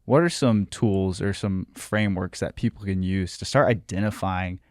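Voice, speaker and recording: Young man, low voice, dry sound. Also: normal speed, dry recording. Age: 20s. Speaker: podcast co-host.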